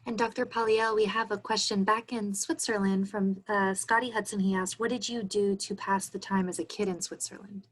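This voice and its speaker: A woman's voice: medium pitch, fairly even intonation, moderate pace, hardly any pauses, poor audio. Polite woman moderating an interview. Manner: polite, with hesitant um fillers.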